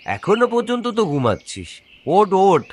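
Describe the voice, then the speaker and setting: A man's voice: high voice, wildly swooping pitch, unhurried, a little hiss or hum, exaggerated voice. A man voicing a concerned, chatty young village friend in a cartoon ghost story.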